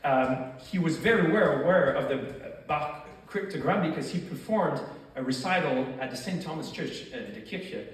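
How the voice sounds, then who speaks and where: A man's voice: on the high side, animated, moderate pace. A man with a thick French accent giving an academic lecture, explaining points and posing rhetorical questions to his audience. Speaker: doctoral lecturer. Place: lecture hall. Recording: echoey hall, far from mic.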